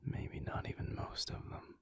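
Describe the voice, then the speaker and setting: A man playing a weary, troubled alpha werewolf pack leader who confides in a hushed, halting, intimate audio roleplay monologue. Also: background noise, close to an everyday voice. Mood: troubled, pensive. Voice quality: hushed, breathy whisper. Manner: slow, halting, pensive.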